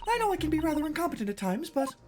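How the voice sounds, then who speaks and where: A man reading the part of a polite, timid young female legal assistant in a high, apologetic voice. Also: very animated, rapid-fire, over music. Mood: flustered, apologetic. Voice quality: prim, apologetic.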